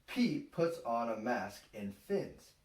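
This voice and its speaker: A man in his thirties reading a picture book aloud to young children in a deliberate, expressive way. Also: mid-range voice. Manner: deliberate, expressive, simple phrasing. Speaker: storytime host, father reading aloud. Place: small room at home, indoors. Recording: roomy, distant home-camera sound.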